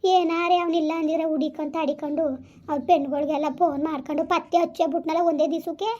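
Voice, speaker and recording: A woman's voice: sits high, little pitch movement, quick pace, darkish tone, dull audio, lightly acted. A woman acting a doting older mother consoling her grown son in a rural southern Karnataka accent, coaxing and repeating herself fatalistically. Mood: tender, resigned. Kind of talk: amateur family melodrama.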